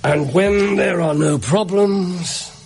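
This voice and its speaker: An actor playing a brilliant, bored English gentleman detective in a British radio drama, theatrical, precise and self-assured. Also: sits high, slow, creaky, a little hiss or hum, full character voice. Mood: weary, melancholy.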